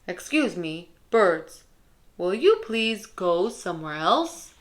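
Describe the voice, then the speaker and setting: A woman's voice: fairly low pitch, sing-song, slow, pitched below the performer's own voice. A woman voicing an anxious, easily alarmed male elephant with dramatic, emphatic delivery in a children's picture book. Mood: pleading.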